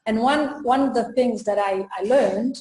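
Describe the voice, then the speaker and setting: Woman, from Portugal, unhurried, halting speech, roomy echo. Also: a little high, dark tone. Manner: slow and hesitant, many fillers and pauses. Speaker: trainer and coach. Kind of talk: casual interview talk. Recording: echoey room.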